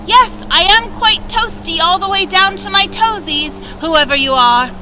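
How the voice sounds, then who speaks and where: A woman's voice: very high voice, measured pace, noisy background, pitched above the performer's own voice. A woman voicing a cheerful, patient young girl who speaks sweetly. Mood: gentle. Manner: sweet and bright.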